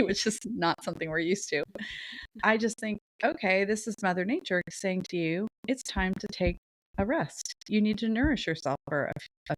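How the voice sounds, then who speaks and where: A woman's voice: lowish voice, dry recording, low-quality recording. Woman with a stuffy nasal voice. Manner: chatty, rambling, laughs often. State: stuffy nasal voice from a sinus cold. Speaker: podcast co-host. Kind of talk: casual chat.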